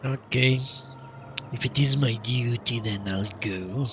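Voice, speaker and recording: A man's voice: medium pitch, slow pace, a bit bright, a little hiss or hum. A man doing live role-play gaming commentary, unhurriedly reading out his lines as a streamer. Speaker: game streamer.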